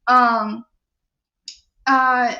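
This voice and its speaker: A young adult woman hosting a live show, reading viewer comments and asking her listeners questions in an animated, preachy way. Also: fairly high pitch, very slow. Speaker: show host. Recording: echoey, dull lo-fi sound.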